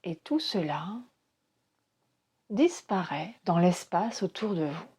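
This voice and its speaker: A woman leads a guided meditation in a dark, relaxed tone. Her voice has a breathy, husky edge and moves very slowly. She gives calm, soothing instructions in short phrases, leaving pauses between them. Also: fairly low pitch. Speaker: yoga nidra teacher.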